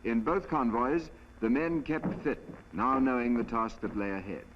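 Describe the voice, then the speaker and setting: A man's voice: medium pitch, moderate pace. A man delivering formal, declarative scripted narration for a 1940s military film. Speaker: documentary narrator. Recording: dull vintage film soundtrack, faint hum.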